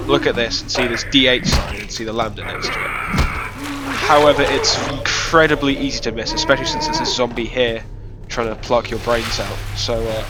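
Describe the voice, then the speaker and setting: A man with a cold, sounding nasal and sniffly, giving a rambling, self-deprecating developer commentary on his own game map with lots of fillers. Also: medium pitch. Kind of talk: casual gameplay commentary. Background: loud game music.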